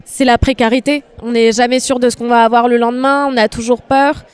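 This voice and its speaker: A woman's voice: on the high side, level tone, fairly quick, clean tone. Hesitant woman animator interviewed. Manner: hesitant, many fillers. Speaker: animator guest. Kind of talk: radio interview answers.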